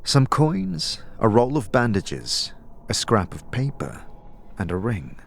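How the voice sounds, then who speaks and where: A man delivering measured, scripted second-person narration for a fantasy audio drama, telling it like a story. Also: on the low side, vocal fry.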